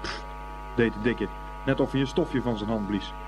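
A man's voice: mid-range voice, normal speed, background noise. A man narrating a scripted story as the narrator of a radio play. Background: music.

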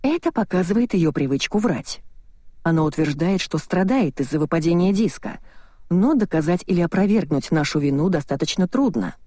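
A reader voicing a cynical, condescending male hospital lawyer in an audiobook, brisk and bossy. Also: medium pitch, expressive, mellow, no echo, lightly acted. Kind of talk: audiobook dialogue reading.

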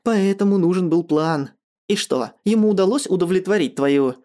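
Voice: mid-range voice, normal speed, warm tone, voice pushed up, lightly acted. A narrator acts out a jilted man in an audiobook drama. He is bitter and scornful, sneering and growling as he demands answers and flings insults. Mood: demanding.

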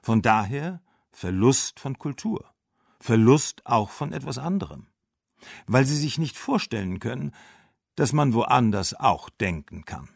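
A man reading a German voice-over translation over an interview, slow and deliberate. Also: medium pitch, very animated, vocal fry, breathy edge, no room sound, clean studio sound. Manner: slow, deliberate dubbing read.

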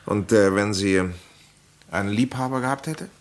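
An inquisitive man played by an actor who muses over theories in a radio crime play. His voice is fairly low. He speaks hesitantly, as if thinking aloud. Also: normal speed, slight background noise, close to an everyday voice. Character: inquisitive, musing man with theories about a murder. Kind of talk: German radio crime drama acting.

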